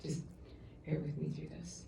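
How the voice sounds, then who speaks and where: A middle-aged woman giving an earnest, halting public comment at a council meeting, heard through the room's sound system from afar. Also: deep voice, level tone, gravelly, a little hiss or hum. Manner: loud voice. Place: council chamber. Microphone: room sound system, picked up from afar. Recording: echoey council chamber from far off.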